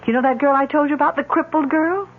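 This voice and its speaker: Woman playing a high-voiced, flustered radio drama widow. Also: steady tone, quick pace, close to an everyday voice. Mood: defensive. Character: trusting, defensive widow, a well-mannered lady easily flustered. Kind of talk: old-time radio drama acting. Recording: noisy, tinny vintage radio recording.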